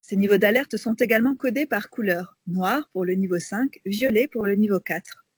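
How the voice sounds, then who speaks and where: Husky-voiced female radio co-host. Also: medium pitch, normal speed, no room sound, studio quality. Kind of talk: presenting and interviewing on a radio magazine.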